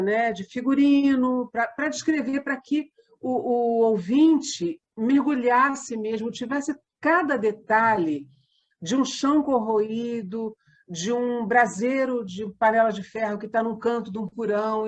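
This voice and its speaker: A Brazilian woman answering interview questions in a conversational way, telling things reflectively and in detail. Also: on the high side. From Minas Gerais, Brazil. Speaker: interview guest, radio drama writer and set designer.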